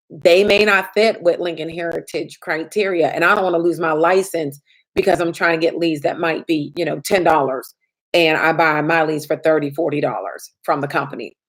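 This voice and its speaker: A woman insurance trainer answers viewer questions in a chatty live stream. Her voice sits a little low and has a slight rasp from lack of sleep. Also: fairly even intonation, moderate pace, rough recording.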